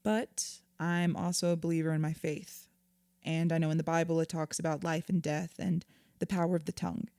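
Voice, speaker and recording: Therapist for audio drama: patient, probing, reassuring woman, warm mellow tone on the lower side, conversational. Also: little pitch movement, no room sound, clean studio sound. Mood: thoughtful. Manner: measured, reassuring.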